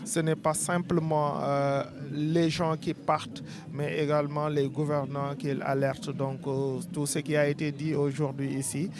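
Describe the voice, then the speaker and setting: A man with a Senegalese French accent, interviewed at an outdoor event, speaking in an earnest, measured, rhetorical way. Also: fairly high pitch, little pitch movement. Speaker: artist interviewee. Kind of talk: street interview, spoken-word declamation. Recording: crowd noise behind.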